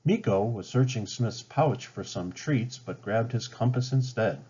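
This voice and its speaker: A man's voice: medium pitch, animated, measured pace, dark tone, breathy edge. A man reading a children's story aloud as a storybook narrator. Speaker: storybook reader. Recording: dull lo-fi home recording.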